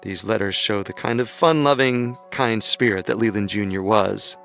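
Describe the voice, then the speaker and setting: A man co-hosting a historical radio drama, giving calm, deliberate scripted narration. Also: on the low side, vocal fry, soft background music. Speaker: narrator and co-host.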